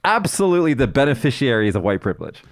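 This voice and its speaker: Male podcast co-host, lively pitch, blunt and outspoken, dry close-miked sound. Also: quick pace, dry recording. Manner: blunt, animated, swears freely. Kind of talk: casual chat.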